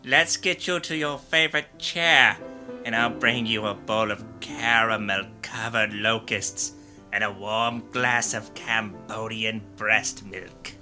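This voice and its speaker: A man plays an old, ungainly butler in a comic radio drama. The voice is low and slow. He is dutiful and deadpan. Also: soft background music, exaggerated voice.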